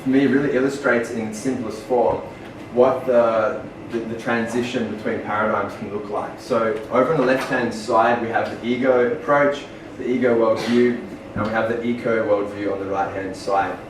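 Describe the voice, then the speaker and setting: A man's voice: on the low side, steady tone, normal speed, few pauses. A man with an Australian accent giving an earnest public presentation, with many ums and restarts. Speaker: speaker. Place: small venue, far from the mic. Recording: echoey room from far off, noisy.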